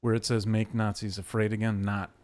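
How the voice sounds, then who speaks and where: A young man hosting a podcast from his webcam setup at home. He talks in a chatty, joking way, rambling with fillers, and his voice sits a little low. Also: level tone, fairly quick, a little mellow. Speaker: podcast host. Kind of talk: casual interview chat.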